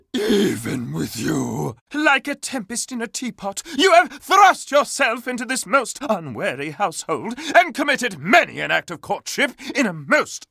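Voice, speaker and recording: A man's voice: high-pitched, bright, forceful tone, no echo, clean studio sound, exaggerated voice. A man voicing a flamboyant, pompous courtly dandy, a living candelabra in a video game, with florid, theatrical, grandiloquent delivery. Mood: exasperated, dramatic.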